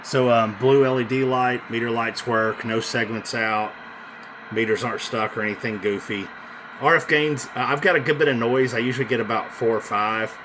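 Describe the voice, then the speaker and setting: A man casually demonstrating a radio he is selling, talking in an informal, halting, hands-on way. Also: medium pitch. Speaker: CB radio seller. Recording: noisy room with radio hiss.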